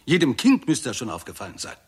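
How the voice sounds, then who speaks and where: An actor playing a confident, impatient British astronomy professor in a 1960s German radio drama, speaking precisely and insistently. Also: male voice, mid-range voice, wildly swooping pitch, fast talker, breathy edge. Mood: doubtful, probing.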